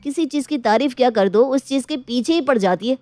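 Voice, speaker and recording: A woman's voice: high voice, a little fast, a little mellow, no echo, natural voice. A woman voicing a picky, pampered husband in a domestic story, with a demanding manner. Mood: grumbling. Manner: grumbling, demanding. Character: fussy, picky adult husband, pampered son.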